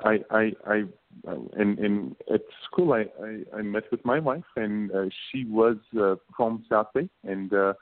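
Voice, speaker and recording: A man in his late thirties with a Turkish accent, a business co-founder speaking as a guest in a casual interview, halting, with many fillers and repeated words. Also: lowish voice. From Turkey. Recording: phone-like narrow band.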